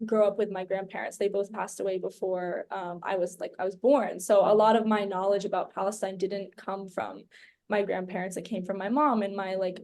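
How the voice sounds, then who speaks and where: A woman's voice: medium pitch, a little mellow. Chatty young woman, podcast guest. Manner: chatty and rambling, leans heavily on "like" and "um". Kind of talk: casual conversational interview.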